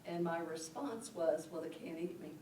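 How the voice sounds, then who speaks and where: A woman's voice: fairly low pitch, normal speed, warm tone, a little hiss or hum. A woman from Indiana, a staff member, telling a story in an interview in a plainspoken, humorous way. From Indiana, USA. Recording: roomy, mic at a distance.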